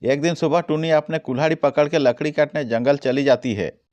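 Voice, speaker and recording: A man's voice: on the high side, steady tone, brisk, darkish tone. Man narrating a children's cartoon story.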